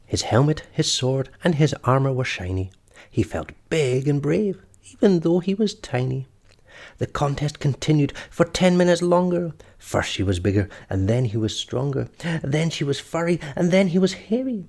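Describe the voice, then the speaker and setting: A man's voice: on the high side, sing-song, moderate pace, creaky, a little mellow. A man reading a children's story aloud expressively, as storyteller and host.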